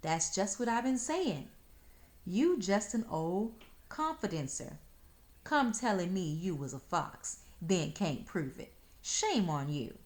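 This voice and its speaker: A woman plays a young country girl from a children's storybook, sassy and hard to impress. She talks in homespun dialect with unhurried, teasing confidence and lively rises and dips. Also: on the low side. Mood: scolding. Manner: matter-of-fact teasing in rural dialect.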